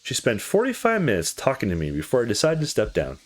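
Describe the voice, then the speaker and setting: A man voicing a troubled, candid young visual novel character who confides past heartbreak in a halting, confessional way. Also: mid-range voice, huge pitch swings. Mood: heavy, vulnerable.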